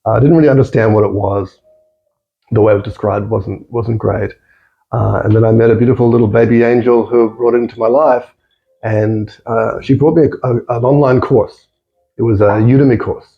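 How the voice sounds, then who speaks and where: A man's voice: fairly low pitch, fairly even intonation, fairly quick, gravelly, dull audio. A man with an Australian accent, a podcast guest talking thoughtfully and repeating words as he searches for phrasing. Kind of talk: reflective casual conversation.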